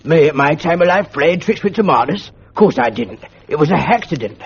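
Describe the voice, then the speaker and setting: Old male school porter, deferential but stubborn, rough dialect; played by a man. Raspy, fairly high. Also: lively intonation, normal speed, exaggerated voice. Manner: ungrammatical dialect, deferential, keeps saying "sir". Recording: lo-fi old archive recording.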